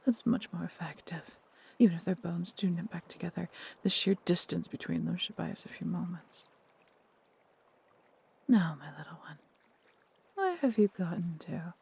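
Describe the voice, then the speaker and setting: Breathy, husky woman as a devoted dragon. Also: fairly low pitch, a little mellow, heavily acted. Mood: menacing, proud, then frustrated. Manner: tender, theatrical, old-fashioned formal phrasing. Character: devoted, protective, proud injured female dragon, huge. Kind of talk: dramatic fantasy audio roleplay to the listener.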